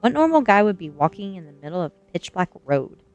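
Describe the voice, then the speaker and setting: A woman's voice: lowish voice, sing-song, brisk, a little mellow, highs cut off, soft background music. A woman voicing an anxious, pleading teenage girl in a storybook reading, breathless and frightened. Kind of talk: storybook dialogue in an ASMR reading.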